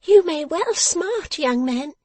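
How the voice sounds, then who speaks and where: A woman voicing a sharp, nimble little dressmaker, brisk and slyly gleeful in her mockery, as an audiobook character from a Victorian novel. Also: high voice, sing-song, dry recording, pitched above the performer's own voice. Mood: spiteful relish. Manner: pert, brisk, slyly exultant.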